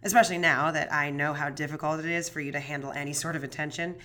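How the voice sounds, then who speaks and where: A woman's voice: sits low, steady tone, fast, fairly bright tone. A woman playing an anxious rambling podcaster. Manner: chatty, rambling, swearing, self-deprecating. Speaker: true crime podcast host. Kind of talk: audio drama monologue.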